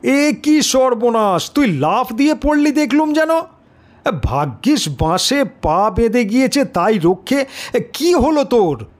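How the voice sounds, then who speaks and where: Man acting out a high-pitched, animated young male friend. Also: measured pace, exaggerated voice. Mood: alarmed. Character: concerned young male friend, excitable and familiar. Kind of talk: dramatic audio story acting.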